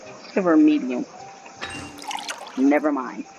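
A woman playing a video game, casually muttering and thinking aloud in a halting way over game music. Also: sits low, muffled recording.

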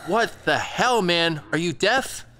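Sharp, sarcastic young bandmate. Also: male voice, high voice, lively intonation, takes it slowly, full character voice. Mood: exasperated. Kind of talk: audio drama acting.

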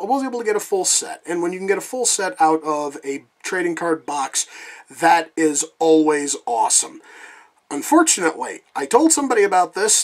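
A man with a lingering cough reviewing trading cards in a casual vlog, rambling and swearing freely while talking off camera. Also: a little high.

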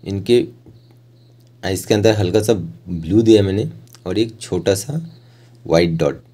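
A man with an Indian accent narrating a step-by-step art tutorial informally, in short phrases with hesitant pauses. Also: sits low, warm tone.

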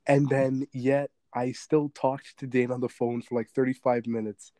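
A man's voice: mid-range voice, flat delivery, normal speed, warm tone, no room sound. Young man with a sore throat. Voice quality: slightly raspy. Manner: rambling, laughs often, many restarts. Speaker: podcast co-host. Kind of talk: casual chat.

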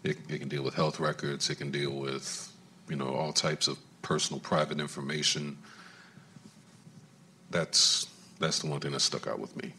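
A man's voice: extremely low pitch, flat delivery, normal speed, sharp tone, slightly rough. A man in his forties from Alabama, a plain-spoken, casual panelist. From Huntsville, Alabama. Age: 40s. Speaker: panelist, warehouse worker. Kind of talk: panel discussion. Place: large conference stage. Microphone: handheld mic.

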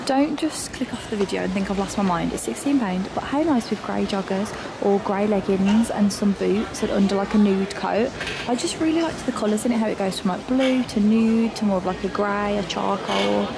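A young woman talks fast and gushes as she browses a big clothing store with a handheld camera. Her voice carries some vocal fry. Loud shop noise fills the background. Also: medium pitch. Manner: chatty, gushing, enthusiastic. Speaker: fashion vlogger. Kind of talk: casual shopping vlog. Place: large busy clothing store indoors. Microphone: handheld camera mic.

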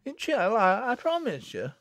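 A young village lass from a storybook, played by a man, with a Lancashire accent and a raised pitch. She is blunt yet easily cowed, and her speech rises and falls freely in a dry recording. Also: big pitch swings, normal speed, no room sound. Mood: tearful. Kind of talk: audiobook character acting.

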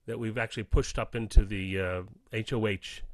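A man answering casually as a podcast guest, with measured, careful phrasing. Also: fairly low pitch, fairly even intonation, no room sound. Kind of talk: casual interview chat.

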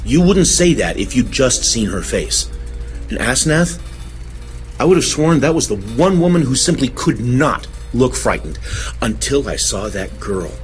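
An actor playing an earnest, troubled husband, recounting strange events emphatically in a horror audio drama. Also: male voice, mid-range voice, sing-song, unhurried, faint music behind. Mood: insistent.

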